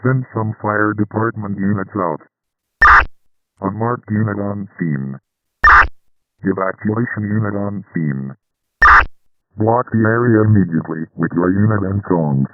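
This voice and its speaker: A man's voice: lowish voice, measured pace, pauses often, no room sound. A man playing a businesslike police chief giving clipped orders over a two-way radio. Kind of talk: radio dispatch talk. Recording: tinny, narrowband two-way radio.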